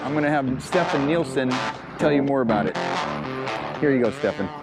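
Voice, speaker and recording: A man's voice: mid-range voice, lively intonation, brisk. A man hosting an on-location video, talking casually and upbeat at an outdoor raceway. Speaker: host. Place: outdoor dirt-track raceway. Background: loud guitar music.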